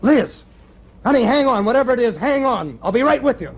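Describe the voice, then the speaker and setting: An actor playing an anxious, protective young boyfriend in a radio drama, with an urgent, emphatic delivery. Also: male voice, very high voice, very animated, brisk, muffled recording, heavily acted. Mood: alarmed, shouting. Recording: noisy old radio drama recording.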